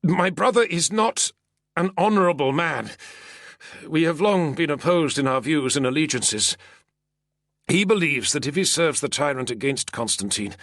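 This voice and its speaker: A man voicing a frightened, scheming Roman senator who pleads in a high, strained voice in an audiobook. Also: a bit bright, no echo, studio quality. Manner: pleading, breathless, high-strung. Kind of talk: audiobook character voice.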